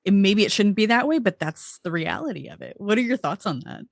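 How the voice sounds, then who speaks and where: A woman's voice: mid-range voice, very animated, a little fast, gravelly, no echo, studio quality. A woman hosting a podcast interview, thinking aloud in a reflective way with some restarts. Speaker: podcast host.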